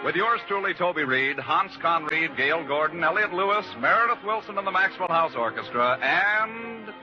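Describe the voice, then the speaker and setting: A man's voice: on the high side, animated, normal speed. A man announcing and narrating a comedy show from a script in 1940s radio style, on an old broadcast recording. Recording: dull old radio recording over music.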